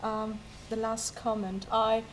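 A woman with an Italian accent answers a question at a live panel debate in a slightly high, lively voice. She talks with many restarts and pokes fun at herself. A faint room ambience sits behind her. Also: slow pace, slight background noise. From Italy. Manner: lively, self-mocking, laughs often, many restarts. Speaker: university language teacher on a debate panel.

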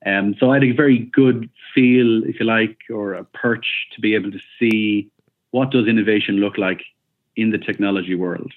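A man's voice: on the low side, steady tone, normal speed. A man in his forties speaking as an interview guest over a remote call, thoughtful and hesitant, with many ums and restarts. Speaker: guest, company founder. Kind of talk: casual interview answers. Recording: tinny phone-like call audio.

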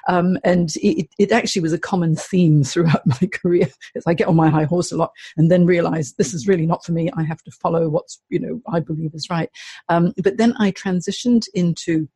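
Chatty woman with a mellow, husky voice. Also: fairly low pitch, a little fast, no room sound. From Hong Kong. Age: middle-aged. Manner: chatty, animated, laughs often. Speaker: career coach. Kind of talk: interview guest telling her story.

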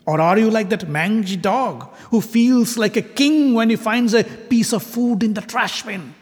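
A man voicing an arrogant, furious king who hurls scornful insults and shouts in defiance. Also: high voice, measured pace, pitched above the performer's own voice. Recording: long hall reverb.

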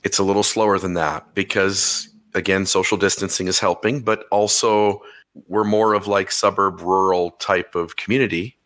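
Male podcast host, a bit hoarse and crackly, lower pitch, bright tone, halting casual talk. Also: fairly even intonation. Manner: halting, many fillers and restarts. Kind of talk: casual chat.

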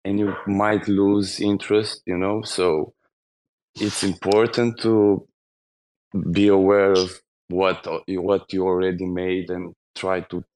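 A man's voice: deep voice, flat delivery, takes it slowly, darkish tone, poor audio. A man from Romania with a Romanian accent, an artist speaking English in a casual chat, nervous and hesitant. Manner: nervous, hesitant, self-conscious. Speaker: artist guest.

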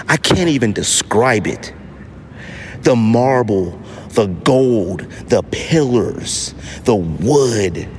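A middle-aged American man hosting a casual solo podcast, telling stories in an animated, slangy, emphatic way. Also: medium pitch, a little hiss or hum. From United States. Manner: animated, slangy storytelling with mock voices for others; emphatic, with repetition for effect. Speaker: podcast host.

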